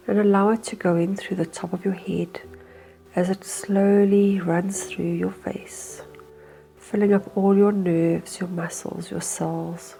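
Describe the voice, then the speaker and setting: A woman leading a slow, hypnotic guided meditation in a soothing, hushed manner with unhurried phrasing. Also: lowish voice, gravelly.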